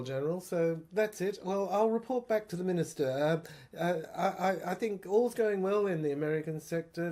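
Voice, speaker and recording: A man's voice: a little high, a little mellow, natural voice. A man playing a smug, dithering government official in a dystopian audio drama, rambling pompously with many stammers and mock-genteel turns of phrase. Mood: businesslike, hesitant. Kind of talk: improvised-sounding audio drama monologue.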